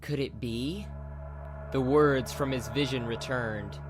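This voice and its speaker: A man's voice: mid-range voice, slow, music in the background. A man narrating a dramatized fantasy audiobook as a scripted storyteller.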